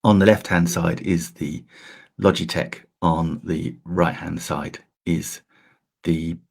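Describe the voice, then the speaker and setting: Croaky low-voiced man hosting a live stream. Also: steady tone, slow pace. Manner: chatty, filler-heavy, restarts often. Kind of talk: casual how-to live stream. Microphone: desk USB microphone.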